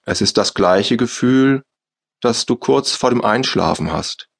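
A man with a German accent guiding a yoga nidra relaxation in slow, soft, calm instructions with long pauses. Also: medium pitch, gravelly. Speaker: meditation guide. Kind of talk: guided yoga nidra meditation.

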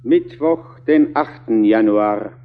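A formal announcer of diary dates in a radio play. He speaks very slowly and with measured care, in slightly dull sound. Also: on the low side.

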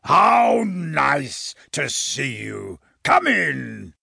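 A man acts out a giant storybook wizard with a thunderous, booming voice. The delivery is big, hearty and welcoming, with a gravelly edge and a very dry, close sound. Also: very animated, very slow, bright, forceful tone, no echo, heavily acted.

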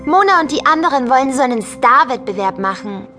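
A woman's voice: high voice, animated, fairly quick, sharp tone, faint music behind. A woman playing an earnest, eager young schoolgirl in a children's audio drama. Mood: unsure, curious. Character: earnest, questioning young schoolgirl with magic spells.

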